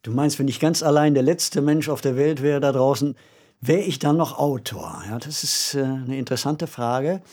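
A man who is an author, talking reflectively in a radio discussion and growing animated when he makes a point. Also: mid-range voice, moderate pace.

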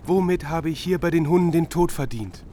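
A man's voice: a little high, brisk, mellow, a little hiss or hum, close to an everyday voice. An actor playing a doomed king who laments and regrets in a radio play. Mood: lamenting. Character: doomed king, regretful, addressing his sister.

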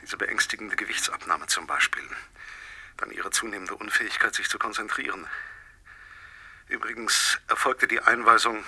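Brooding obsessive husband in a radio drama, low husky voice, deliberate and coldly menacing. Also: male voice, bright, forceful tone, exaggerated voice. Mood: coldly controlled. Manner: deliberate, insistent, drops into whispers. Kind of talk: German radio play acting.

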